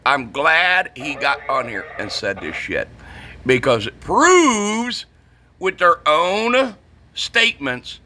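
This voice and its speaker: A man's voice: on the high side, very animated, takes it slowly, bright, forceful tone. A middle-aged man, ranting casually and bluntly about his trade, swearing and repeating himself for emphasis. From northern United States. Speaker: CB radio shop vlogger. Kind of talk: casual opinionated rant.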